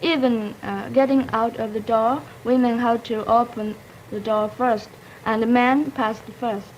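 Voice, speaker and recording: A young woman from Japan, Japanese her first language, speaks English in a fairly high voice. She talks carefully, pausing to find her words. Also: fairly even intonation, lo-fi sound, a little hiss or hum. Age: young adult. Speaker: student debater.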